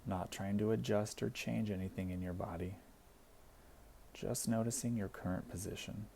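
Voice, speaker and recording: Male meditation guide, dark mellow tone, creaky low register, gentle instructional delivery, deliberate pauses. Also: fairly even intonation, a little hiss or hum. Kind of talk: guided body scan meditation.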